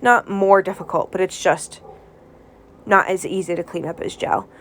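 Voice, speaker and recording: A woman's voice: lowish voice, fairly even intonation, normal speed, slight background noise. A woman vlogger with a stuffed-up nose from a cold, giving a casual nail tutorial at home with lots of ums and restarts. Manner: hesitant, many ums and restarts.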